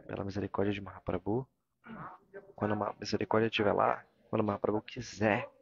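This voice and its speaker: Brazilian guy translating a religious talk live, halting, gravelly low voice, kinda muffled recording. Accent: Brazilian Portuguese. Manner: halting, searching for words and repeating phrases. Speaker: interpreter of a spiritual discourse.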